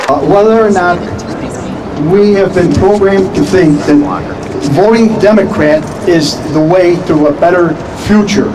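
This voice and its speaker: Fiery high-voiced man at a rally. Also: measured pace. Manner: fiery, indignant. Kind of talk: impassioned public speech. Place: outdoor plaza rally. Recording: distant mic, loud crowd and street noise.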